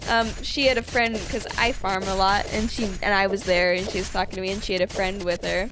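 A young woman chatting casually and crudely over a video game, laughing often, with a slightly raspy voice. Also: mid-range voice, steady tone, brisk, noisy background. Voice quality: getting raspy. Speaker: gaming YouTuber. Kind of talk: casual chat over gameplay. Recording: game music underneath.

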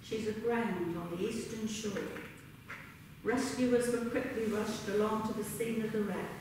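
An older woman reciting a narrative poem at a remembrance service, earnest, in a measured storytelling cadence. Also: fairly high pitch, fairly even intonation. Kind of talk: poetry recitation. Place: large indoor hall with a congregation. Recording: very echoey hall, distant mic, background noise.

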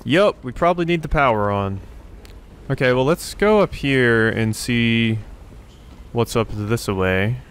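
A man's voice: medium pitch, expressive, a little slow, fairly bright tone, smooth. A man giving casual let's-play commentary, thinking aloud in a rambling way and often repeating his phrases. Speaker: gaming YouTuber, let's player. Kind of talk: casual video game commentary. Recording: faint game ambience noise. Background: game ambience.

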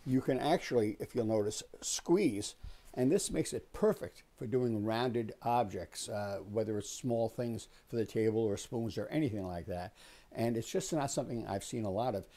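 Casting: man, 60s, soft-edged dark tone on the low side, easygoing explainer hosting a shop tour. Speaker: host of a woodworking shop tour. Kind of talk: casual explanatory tour talk. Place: small wood-panelled workshop, indoors.

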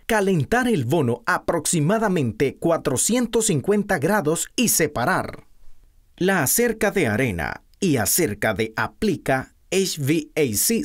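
An instructional narrator of medium pitch reads a product script. The delivery is stiff and read-out, yet the pitch moves up and down a great deal.

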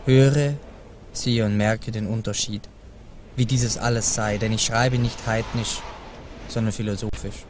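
A man's voice: fairly low pitch, steady tone, unhurried, a little hiss or hum. A man solemnly reciting archaic religious prose in a declamatory way.

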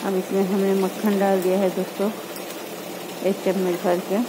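A woman hosting a home cooking video, calmly explaining each step to her viewers in a home kitchen. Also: mid-range voice, normal speed. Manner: calm, instructive, addresses viewers as friends. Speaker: cooking channel host. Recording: loud kitchen noise. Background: frying sizzle and stirring pots.

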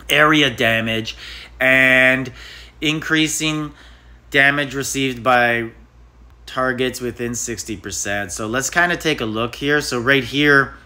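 A man's voice: medium pitch, pushed and bright. A man hosting a mobile game channel, chatting casually and thinking aloud as he taps through menus. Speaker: gaming channel host.

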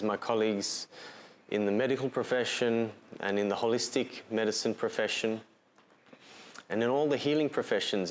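A man with an Australian accent and a somewhat low voice explains health topics in a measured, patient way. He sits indoors with a clip-on mic, and faint room ambience sits under his speech. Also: a little hiss or hum. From Bali, Indonesia, and Australia. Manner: measured, calm explanatory delivery with pauses and fillers. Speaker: holistic health practitioner presenting a talk. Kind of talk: livestreamed health talk to camera. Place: indoor room with shelf and framed photos. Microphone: lapel mic, seated facing camera.

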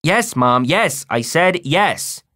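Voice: mid-range voice, sing-song, takes it slowly, bright, forceful tone, voice pushed up, full character voice. A narrator acting an emphatic, defensive schoolboy. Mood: resigned. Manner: emphatic, casual kid talk. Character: defensive, blunt schoolboy, older brother. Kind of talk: audiobook first-person character voice.

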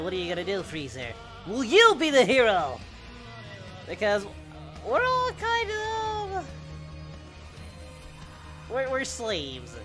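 Man doing an excitable cartoon hero, wildly swooping pitch. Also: full character voice. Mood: alarmed, mock outrage. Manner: exclamatory, swings from muttering to yelling. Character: parody Goku, excitable goofy male cartoon hero. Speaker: reaction video host. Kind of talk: casual reaction commentary.

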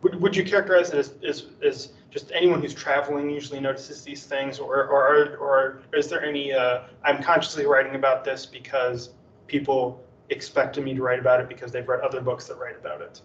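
A man's voice: medium pitch, little pitch movement, fairly quick, fairly bright tone. Distant man asking rambling questions. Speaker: audience member asking questions. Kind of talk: academic question and answer. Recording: distant, echoey, dull, faint hiss.

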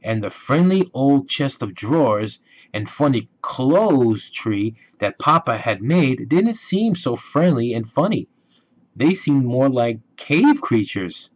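Male storybook narrator. Unhurried, gentle and very expressive, on a slightly dull recording. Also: mid-range voice. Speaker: children's storybook reader.

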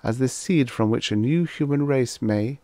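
Man putting on a high, solemn alien telepathic voice. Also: dark tone, no echo, close to an everyday voice. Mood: urgent, grave. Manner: formal, measured, grave announcements. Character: solemn alien mind speaking telepathically through a little girl. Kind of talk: science fiction audiobook character voice.

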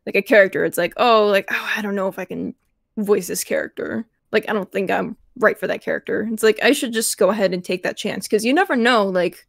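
A woman's voice: medium pitch, a little fast, gravelly, no echo, studio quality. A woman voice actor on an online panel, talking casually with many fillers.

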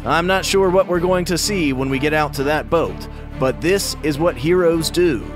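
A man's voice: on the high side, moderate pace, music in the background, natural voice. A man voicing a young male gator who speaks with serious, self-important play-heroics in a children's story. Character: earnest young male gator playing at heroes.

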